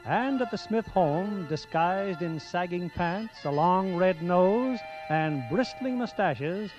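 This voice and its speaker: High-voiced man narrating old radio. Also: animated, slow pace, darkish tone. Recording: muffled old radio recording. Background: faint music.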